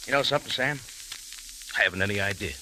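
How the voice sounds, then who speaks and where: An actor playing a tough, wry American adventurer in old radio detective style, with a clipped, casual hard-boiled delivery. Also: male voice, fairly low pitch, fast talker, pushed and bright, slight background noise, poor audio. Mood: evasive, low-key. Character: tough, wry American adventurer hero narrating his case. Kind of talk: 1940s-50s radio detective acting. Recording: old radio recording with music.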